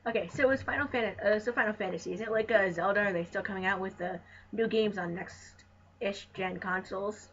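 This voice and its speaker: A guest co-commentator with a mid-pitched voice, chatting casually and jokingly on a video game let's play. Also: brisk, fairly bright tone. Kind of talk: casual gaming chat. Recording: muffled, noisy voice recording.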